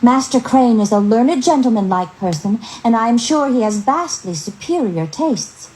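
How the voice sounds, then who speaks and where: A woman's voice: on the high side, animated, normal speed, a little mellow, a little hiss or hum, pitched above the performer's own voice, lightly acted, low-quality recording. A woman voicing a bossy, easily impressed farmhouse mother in a storybook tale, fussy and instructing. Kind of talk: storybook character voice.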